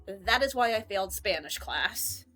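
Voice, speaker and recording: A woman's voice: mid-range voice, lively intonation, unhurried, a bit bright, soft background music. A woman streamer in casual rambling chat, jokey and self-mocking.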